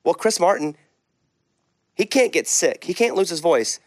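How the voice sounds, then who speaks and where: A man hosting a podcast in a chatty, rambling interview style, raising his pitch when he drives a point home. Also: lively intonation, moderate pace, no room sound, clean studio sound. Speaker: podcast host. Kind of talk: casual interview chat.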